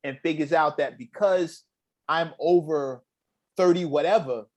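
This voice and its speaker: Animated middle-aged man talking culture. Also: on the high side, unhurried. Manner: animated, emphatic, rapping lyrics from memory. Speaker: podcast host. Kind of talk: casual chat.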